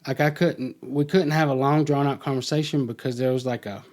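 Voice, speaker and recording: A man's voice: mid-range voice, fairly even intonation, a little fast, a little mellow. A middle-aged man, a podcast guest, telling his own story in a casual way and acting out remembered dialogue.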